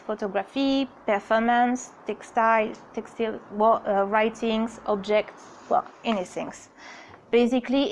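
Woman, 30s, French accent, warm apologetic presenter, slightly higher voice, far mic in a courtyard. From France. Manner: friendly, laughs nervously, apologetic. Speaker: artist giving a talk. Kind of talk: presentation reading from notes. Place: outdoor courtyard on stone steps.

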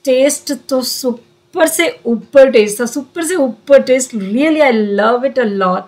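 Chatty woman vlogger, slightly high voice. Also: takes it slowly, darkish tone, a little hiss or hum. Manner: chatty, mixes in English phrases, many "jo hai na" fillers. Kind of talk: casual vlog narration. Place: car and busy restaurant. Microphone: phone camera at arm's length.

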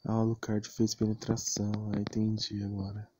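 Brazilian guy commentating his game, really dark mellow voice, short slangy remarks, noisy dull mic. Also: fairly low pitch, completely flat delivery, normal speed. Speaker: gamer commentating own match. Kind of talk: casual gameplay commentary. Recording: muffled lo-fi mic with clear noise.

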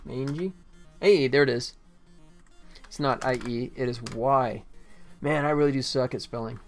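An American man in his forties chatting casually and haltingly as he livestreams game development, thinking aloud. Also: mid-range voice, faint music behind. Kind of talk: casual chat while working.

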